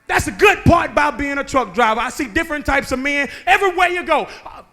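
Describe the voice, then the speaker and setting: A man, preaching a sermon that moves between joking talk and shouted calls to the men. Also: very high voice, lively intonation, fast, faint music behind. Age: 30s. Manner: conversational preaching that builds to shouting, with laughs and rhetorical questions. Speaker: guest preacher. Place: church sanctuary.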